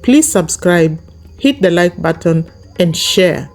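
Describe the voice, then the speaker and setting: A woman for whom English is a second language delivers a YouTube commentary monologue. Her voice sits warm and mellow, with soft music in the background. She drives her points home by repeating them. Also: fairly low pitch, animated, slow pace. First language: not English. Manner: emphatic, repetitive, speaks straight to viewers.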